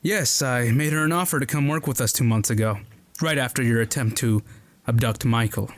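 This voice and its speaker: A man's voice: medium pitch, a little fast, natural voice. A man plays a sneering mercenary who toys with his prisoners in an audio drama. His voice sits in the middle range and drips with mockery. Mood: mocking. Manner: mocking, taunting. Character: smug male mercenary captor, mocking.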